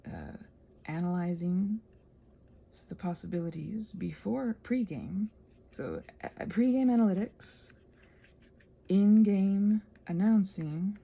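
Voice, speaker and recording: A woman's voice: mid-range voice, expressive, takes it slowly, gravelly, dark tone, husky. A woman chatting casually in a whimsical, digressive way while she does crafts. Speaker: craft vlogger.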